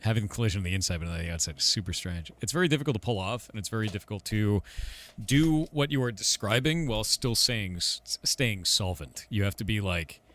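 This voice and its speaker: Man, interview host, very low voice, direct and conversational. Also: lively intonation, brisk, a bit bright. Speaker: streamer host. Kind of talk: casual interview talk.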